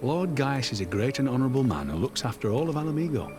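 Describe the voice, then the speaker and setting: Actor as a quick-talking, fond father. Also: medium pitch, very animated, over music, close to an everyday voice. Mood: fond, reassuring. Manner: indulgent, kindly. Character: fond, patient father hurrying his young daughter. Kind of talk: dramatic video game voice acting.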